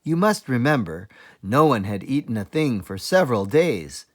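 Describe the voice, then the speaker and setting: A man reading a children's book aloud as a teacher librarian, explaining things deliberately for young listeners. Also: mid-range voice, very animated. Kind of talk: children's storybook read-aloud.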